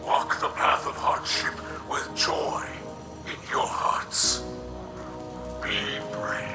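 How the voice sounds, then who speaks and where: An actor playing a solemn, devout alien elder in a video game, with a breathy rasp and halting, formal phrasing. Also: male voice. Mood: reverent. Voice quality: breathy, rasping, half-whispered. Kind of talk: scripted video game cutscene acting. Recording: game music behind the voice.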